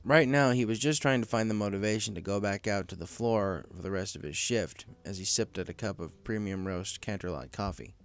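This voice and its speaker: A man's voice: on the low side, a little fast, few pauses, clean tone. A man reading a story aloud as scripted narration. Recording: faint music under the voice.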